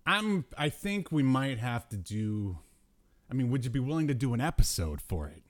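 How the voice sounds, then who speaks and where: A man's voice: mid-range voice, sing-song, normal speed. A man hosting a podcast in a casual, jokey pop culture chat, often chuckling as he talks. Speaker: podcast host.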